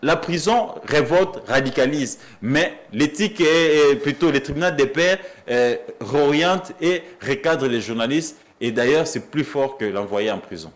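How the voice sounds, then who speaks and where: A man's voice: fairly high pitch, lively intonation, moderate pace, hardly any pauses. A man with a Congolese accent, a journalist arguing emphatically as a debate guest, often repeating his phrases for weight. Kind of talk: animated political debate.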